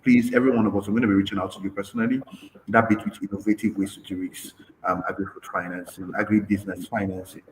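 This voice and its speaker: A man moderating a panel discussion, who keeps checking in with a quick "right?" as he talks. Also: fairly low pitch, flat delivery, a little hiss or hum, low-quality recording.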